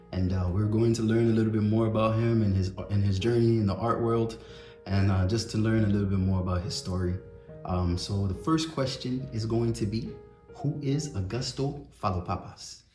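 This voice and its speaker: A young adult man hosting a casual interview, relaxed and reflective, with many fillers. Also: lowish voice, moderate pace, reverberant, soft background music. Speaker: interview host, photographer.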